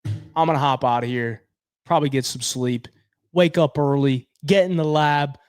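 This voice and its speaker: A man's voice: mid-range voice, steady tone, moderate pace, darkish tone, clear, resonant tone, no room sound, clean studio sound. A man hosting an MMA betting show, chatting casually with viewers and reading their comments in a laid-back, slangy way, sounding stuffy as he loses his voice. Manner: laid-back, slangy, says "bro" often. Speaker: MMA betting podcast host.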